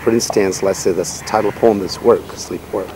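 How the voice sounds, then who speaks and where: A middle-aged man whose first language is Nepali speaks in a very low, grounded voice. He answers in a measured, reflective way, pausing often on "you know". The recording was made outdoors in a garden, with clear background noise throughout. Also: steady tone. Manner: measured, thoughtful, many fillers like "you know". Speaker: poet and writer, interview guest.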